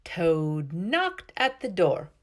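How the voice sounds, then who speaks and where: A woman reading a children's story aloud as narrator, in an expressive storytime style. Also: fairly low pitch, extremely slow. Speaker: storytime reader.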